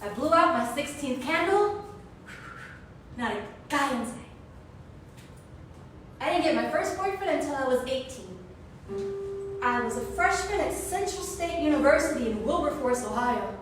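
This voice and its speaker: Female one-woman-show storyteller, dramatic and loosely improvised, high voice, distant from the mic with music behind. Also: heavy reverb. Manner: expressive, dramatic storytelling with drawn-out words. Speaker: solo stage performer. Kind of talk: theatrical autobiographical monologue, loosely improvised.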